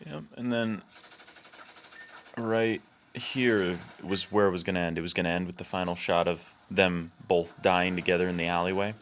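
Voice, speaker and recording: A low-pitched man talks off the cuff as a filmmaker walking through his own work. His delivery is informal and blunt, with casual swearing. Faint noise sits behind the deep voice. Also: fairly even intonation, moderate pace, a bit bright. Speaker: filmmaker giving video commentary. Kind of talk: casual unscripted commentary.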